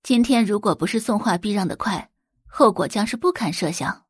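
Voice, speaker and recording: A woman narrating a scripted audio drama in an expressive storytelling manner. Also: mid-range voice, moderate pace, husky, no room sound, clean studio sound.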